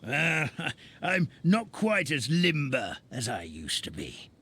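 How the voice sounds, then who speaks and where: An actor playing a kindly, doting old grandfather nutcracker in a video game, speaking in a halting, wistful manner. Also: on the high side, huge pitch swings, fairly bright tone, slightly rough, heavily acted. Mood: fond, doting. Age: older. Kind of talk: animated game character acting.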